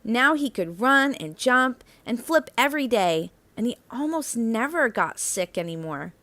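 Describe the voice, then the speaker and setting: A woman reading a children's picture book aloud as narrator, with expressive, emphatic phrasing that stretches out key words. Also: a little high, measured pace, dry recording.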